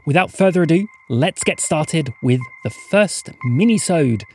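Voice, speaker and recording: A man's voice: a little high, animated, unhurried, darkish tone, soft background music. A man hosting a podcast, introducing an episode in an earnest, polite, slightly formal way.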